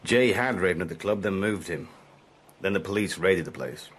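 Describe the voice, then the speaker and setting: An actor playing a world-weary, sardonic Cold War spy, narrating a radio drama in a dry, understated manner. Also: male voice, low voice, expressive, normal speed, slight background noise. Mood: questioning, deferential. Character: wry, world-weary Cold War secret agent, insubordinate.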